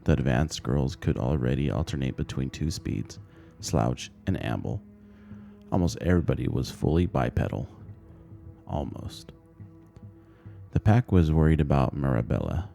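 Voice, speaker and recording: A man's voice: very deep voice, expressive, moderate pace, gravelly, dark tone, soft background music. A man reading a short story aloud as scripted audiobook narration.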